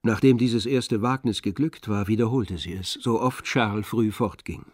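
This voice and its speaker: A man playing the composed, observant narrator of a literary radio drama, with measured delivery. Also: on the low side, a little mellow, close to an everyday voice.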